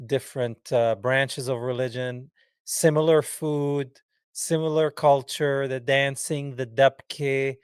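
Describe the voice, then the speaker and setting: Smooth-voiced male podcast host. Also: mid-range voice, fairly even intonation, slow pace, dry recording, clean studio sound. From Palestine. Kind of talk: casual interview chat.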